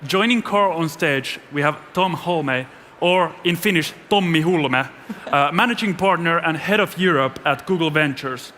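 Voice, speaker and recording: A young man works as a stage host, reading a scripted introduction. His voice is bright and fairly high, carried through a reverberant, noisy hall. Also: moderate pace. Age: young adult. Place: event stage in a large venue. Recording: echoey large hall, noisy.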